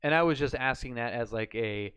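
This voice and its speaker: A man's voice: medium pitch, brisk, a bit bright, clear, resonant tone. A man chatting casually on a live stream, talking through hypothetical situations as he works them out. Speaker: flight sim streamer learning radio procedures.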